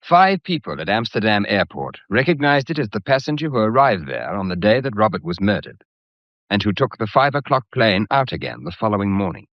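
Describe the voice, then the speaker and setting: An earnest investigator in a radio mystery. His voice is fairly low but animated, in a dry, slightly dull recording. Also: brisk, no room sound. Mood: grave, apologetic. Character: earnest, blunt male investigator. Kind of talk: radio drama acting.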